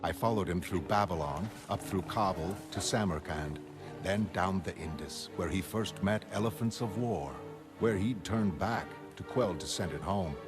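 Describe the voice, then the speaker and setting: A very low voice sits over prominent music, measured and lofty. It is a brilliant, self-assured man recounting his life in formal, contemplative phrases, acted by a man for a comic. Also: natural voice. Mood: grandly reflective. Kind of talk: measured dramatic monologue.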